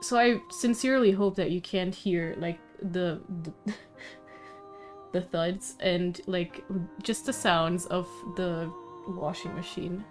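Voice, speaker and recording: Low-pitched, slow-paced woman streamer. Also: faint music behind. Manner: halting, rambling, half-finished sentences. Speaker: game streamer. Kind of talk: casual live stream chat.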